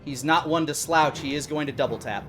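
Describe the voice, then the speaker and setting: A man's voice: a little high, a little fast. A man in his thirties narrating and making rulings as game master on a live tabletop game stream. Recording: background music behind the voice. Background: music.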